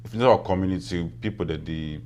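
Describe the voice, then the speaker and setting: A man's voice: low voice, quick pace, darkish tone, slight background noise. A man with a Cameroonian English accent, a guest talking casually in an interview, chatty and laughing with pidgin-flavoured English. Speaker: guest, business founder.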